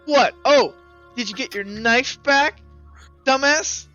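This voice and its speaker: Guy doing a sassy newspaper kid for a tabletop game, high sharp voice, brash and taunting. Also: slow pace, dry recording, highs cut off, soft background music, full character voice. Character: cheeky, street-smart newsboy hawking papers, sassy and shrewd. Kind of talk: tabletop roleplay character voice.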